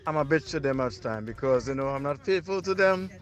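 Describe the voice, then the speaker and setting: A man's voice: mid-range voice, expressive, fairly quick. A man sending in a blunt, boastful message to a radio show.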